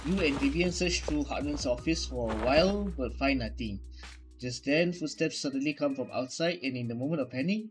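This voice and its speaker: A man's voice: medium pitch, a little fast. A man hosting a gaming channel, reading the game's narration aloud in a plain way. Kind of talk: reading game text aloud. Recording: music from the game behind.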